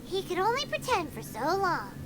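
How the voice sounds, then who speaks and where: A tiny, cheeky fairy companion from a video game. The voice is extremely high and childlike, swooping excitably, over loud noise. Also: female voice, moderate pace, heavily acted. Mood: smug. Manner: squeaky, exclamatory.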